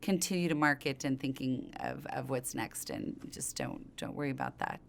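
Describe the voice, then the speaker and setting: A woman's voice: extremely low pitch, moderate pace, vocal fry, a little hiss or hum. A middle-aged woman, a company co-founder, talking in an interview in an informal, rambling way that circles back mid-thought. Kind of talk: conversational interview storytelling.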